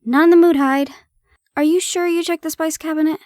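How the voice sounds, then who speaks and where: A woman playing a harried but affectionate young girlfriend in an audio roleplay, expressive, teasing and coaxing. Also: very high voice, a little fast, a little hiss or hum. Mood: stressed, exasperated.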